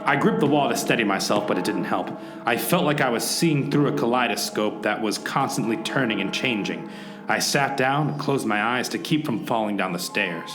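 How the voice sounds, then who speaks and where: A man acts out a swaggering, hard-boiled hero narrating a parody radio play. His mid-pitched delivery is animated and melodramatic. It has an echoey sound with music underneath. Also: normal speed, close to an everyday voice. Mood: dramatic. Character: self-styled noir hero man, swaggering, overdramatic narrator.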